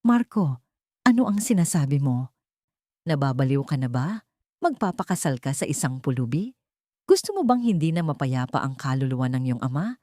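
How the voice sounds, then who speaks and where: A stern older mother from an audio drama, played by a woman narrator, easily scandalised about her grown son. The voice is mellow and dry. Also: very deep voice, very animated, moderate pace, long pauses, dry recording. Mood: shocked, angry.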